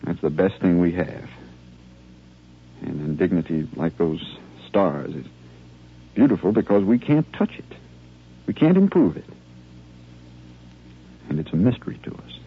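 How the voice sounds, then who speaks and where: A male actor playing an earnest army lieutenant who steadies his men in measured, rhetorical phrases, in a 1950s radio drama style. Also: low-pitched, expressive, breathy edge, full character voice. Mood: solemn, gentle. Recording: muffled old 1950s radio recording.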